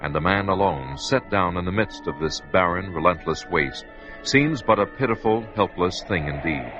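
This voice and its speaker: A man with a very deep voice narrates in a grave, dramatic 1940s radio manner. Clear music runs under the narration. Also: animated, moderate pace, dull audio. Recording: old radio recording with music.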